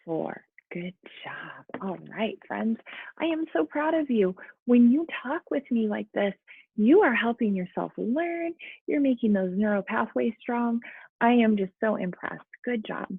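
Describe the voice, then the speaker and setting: A woman's voice: fairly high pitch, lively intonation, measured pace, gravelly, slight background noise. A woman teacher speaking encouragingly to young children, as in a lesson and storybook read-aloud, with muffled sound. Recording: muffled, phone-like narrow-band sound.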